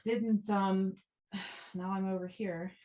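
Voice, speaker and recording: A woman's voice: medium pitch, little pitch movement, slow, warm tone. A woman chatting casually as a co-host, in a blunt, offhand way. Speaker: co-host prompting the interviewee. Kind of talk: casual chat.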